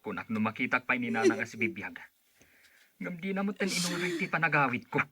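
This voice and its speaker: A man's voice: mid-range voice, brisk, sharp tone, slightly rough. A man playing an agitated, grieving older brother in a Filipino drama, with a Filipino accent. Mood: shocked, grieving. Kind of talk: Filipino radio-style drama acting.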